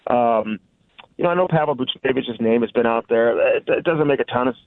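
A man talks through his sports analysis in a conversational, unscripted way, as a guest reporter would. The sound is dry and somewhat dull, like a lo-fi radio call. His pitch sits in the middle for a man. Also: a little fast, no echo. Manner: conversational, frequent "you know" and "listen".

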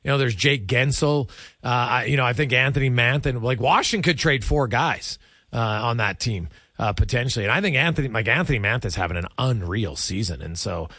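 A man's voice: mid-range voice, expressive, a little fast, bright, forceful tone, breathy edge, no echo, studio quality. A man hosting a radio sports show, chatting casually and thinking aloud as he goes.

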